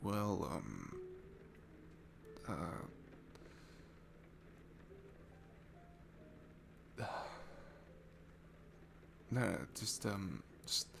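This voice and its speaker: A man's voice: lowish voice, sing-song, extremely slow, creaky, noisy background, heavily acted. A man playing a shy, sweet, nervous young guy at a bar in an intimate ASMR roleplay, stammering and hesitant. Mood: awkward, hesitant. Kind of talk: ASMR roleplay audio, intimate one-sided conversation. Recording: music behind the voice. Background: music.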